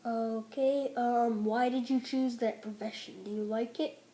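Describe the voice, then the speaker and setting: A woman's voice: on the high side, fairly even intonation, unhurried, mellow. A female interviewer reading out prepared questions in a plain, hesitant way. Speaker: interviewer for a school project.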